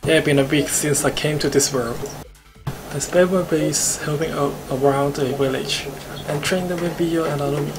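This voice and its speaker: A man reads visual novel narration aloud for a stream. He goes haltingly, tripping over the script, with music clearly playing behind him. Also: a little high, fairly even intonation, rough recording. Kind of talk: live playthrough, reading narration aloud.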